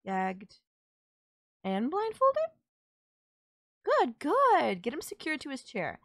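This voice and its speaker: A woman's voice: high voice, huge pitch swings, takes it slowly, fairly bright tone, no echo, clean studio sound, full character voice. A woman playing a sultry, possessive kidnapper who gloats over and coaxes a captive in an intimate audio roleplay. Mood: smug, pleased. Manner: teasing, coaxing, controlling.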